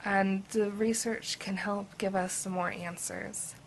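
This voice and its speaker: A woman's voice: medium pitch, steady tone, slow pace, slight background noise. A woman hosting a personal video, explaining in a plain, earnest way to camera. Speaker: video host, patient advocate.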